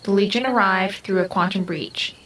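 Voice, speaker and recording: An actress playing a half-human house intelligence in an audio drama, calm, formal and devoted, with precise clinical diction. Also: female voice, mid-range voice, moderate pace, a little hiss or hum, natural voice, poor audio. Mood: matter-of-fact.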